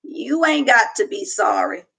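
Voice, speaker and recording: A woman voicing a kind old toothless woman, slow and high. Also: huge pitch swings, vocal fry, pushed and bright, slightly rough, heavily acted. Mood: folksy kind. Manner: slow drawl, high-pitched.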